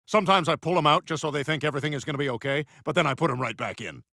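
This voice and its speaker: A man giving casual reaction commentary with exaggerated, drawn-out delivery. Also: on the high side, creaky, pushed and bright, no echo, clean studio sound.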